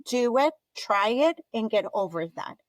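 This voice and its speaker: A woman's voice: a little high, lively intonation, unhurried, dry recording. A woman from Colombia with a Colombian Spanish accent, a native Spanish speaker, chatting upbeat as a podcast guest. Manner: chatty and upbeat, laughs easily. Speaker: guest, event business founder. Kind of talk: podcast interview conversation.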